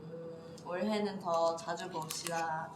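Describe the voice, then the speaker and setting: A young woman chatting casually on a livestream and offering soft, gentle New Year wishes. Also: fairly low pitch, fairly even intonation, slow pace, music in the background. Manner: soft-spoken, giving gentle well-wishes. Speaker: idol on a livestream. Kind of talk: casual chat. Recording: echoey room with faint noise.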